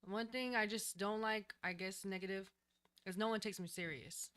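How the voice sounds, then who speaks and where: A woman who is a new singer, answering interview questions in a studio in a soft, hesitant way, often pausing to think. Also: mid-range voice, steady tone. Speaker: guest singer, new artist. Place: recording studio.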